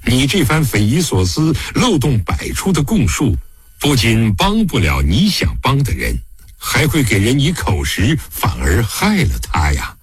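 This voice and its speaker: A man's voice: medium pitch, huge pitch swings, measured pace, husky, no room sound, heavily acted. A man narrating an audiobook, voicing a stern, fatherly investigating magistrate with a weighty, admonishing manner. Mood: grave.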